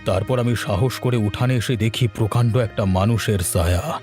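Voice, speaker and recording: A man's voice: on the low side, normal speed, a little mellow, soft background music, close to an everyday voice. A man voicing a doting, fearful village father in a cartoon, speaking in a tender, uneasy way. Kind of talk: cartoon voice acting.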